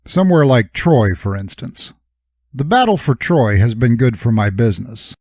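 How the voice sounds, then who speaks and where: A man's voice: medium pitch, mellow, no room sound. A man reading a first-person novel as an audiobook narrator, with a wry, dryly humorous delivery.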